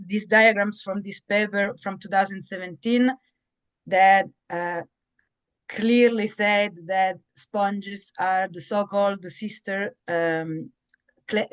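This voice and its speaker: Hesitant Italian-accented woman presenting. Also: medium pitch. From Italy. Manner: hesitant, frequent "uh" fillers and restarts. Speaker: marine biologist and aquarium education officer. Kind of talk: educational slide presentation.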